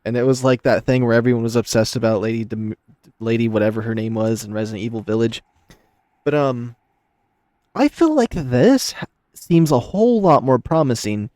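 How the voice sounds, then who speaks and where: A man's voice: medium pitch, moderate pace, darkish tone, no room sound, clean studio sound. A man narrating a visual novel and commenting on it casually as a gaming video host.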